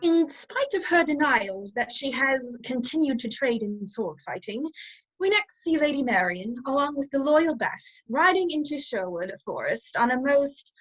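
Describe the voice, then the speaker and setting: A woman's voice: high voice, lively intonation, measured pace, fairly bright tone, soft background music, heavily acted, poor audio. A woman playing an arch, wry storyteller, narrating a scripted radio play.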